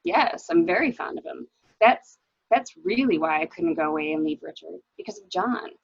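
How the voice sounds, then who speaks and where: A woman's voice: lowish voice, expressive, normal speed, vocal fry, slightly rough, no room sound, dull audio, heavily acted. A woman playing a tense, evasive wife suspected of murder, in an amateur dramatic reading of a mystery. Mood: fond, anxious. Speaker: library staff reader.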